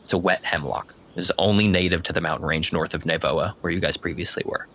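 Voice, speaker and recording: A man's voice: low voice, steady tone, fast talker, fairly bright tone, slight background noise. A man narrating a tabletop roleplaying game as its game master.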